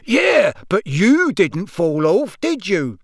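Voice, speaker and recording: A man voicing a genial, boastful middle-aged wizard father in an audiobook, with a booming, hearty, colloquial way of talking. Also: big pitch swings, unhurried, fairly bright tone, dry recording, studio quality, heavily acted. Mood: proud, boastful.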